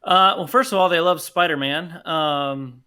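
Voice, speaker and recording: A man's voice: fairly high pitch, a little slow, pushed and bright, clean tone. A man in his thirties chatting casually as a guest on a podcast. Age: 30s. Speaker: podcast guest, audio drama producer. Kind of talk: casual chat.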